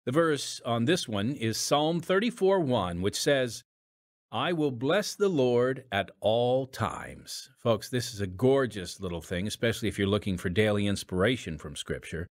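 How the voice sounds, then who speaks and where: A man's voice: medium pitch, expressive, measured pace, no room sound, studio quality. A man reading scripted narration and announcements as the host of an audio drama podcast.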